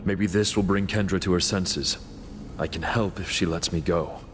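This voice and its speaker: A man's voice: low voice, fairly even intonation, moderate pace, background noise, lightly acted. A man playing a loyal, earnest comrade in a fantasy game, with a dramatic delivery. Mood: concerned. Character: loyal, grateful male comrade, earnest freed prisoner. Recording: loud music behind.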